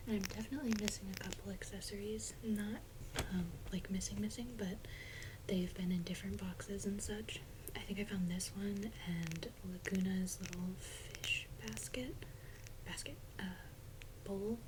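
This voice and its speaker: Young woman whispering soft ASMR, noisy recording. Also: medium pitch, steady tone. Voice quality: breathy whisper. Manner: hushed, gentle, unhurried casual chat. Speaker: ASMR content creator. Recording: noisy close recording with handling clicks. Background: clicks and crinkles of objects being handled.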